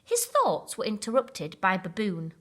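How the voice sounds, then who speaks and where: Woman, children's story narration, expressive, deliberate, very varied pitch, bright voice. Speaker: school storyteller. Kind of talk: children's bedtime story reading.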